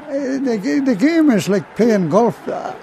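A man's voice: very high voice, expressive, unhurried, warm tone, slight background noise. An older retired miner chatting in an interview, rambling and restarting his words. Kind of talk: casual interview chat. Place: outdoors at a tournament venue.

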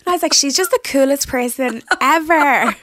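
A woman's voice: high voice, moderate pace, sharp tone, slight background noise. An Irish woman hosting a podcast in casual, chatty talk, teasing her guest and laughing often. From Ireland. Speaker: podcast host, skin expert.

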